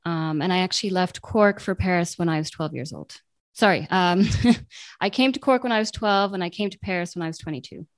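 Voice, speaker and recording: A woman's voice: on the low side, a little fast, no echo. A woman in her late twenties, raised between Italy, the US and Ireland, answering interview questions in a chatty way with many ums and self-corrections. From born in Italy, raised in Italy, the US and Ireland. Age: late 20s. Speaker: guest, translator and radio journalist.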